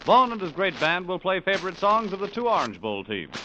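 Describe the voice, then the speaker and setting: Lively high-pitched American radio announcer man. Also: brisk. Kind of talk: 1940s network radio announcing. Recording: dull, noisy 1940s radio broadcast.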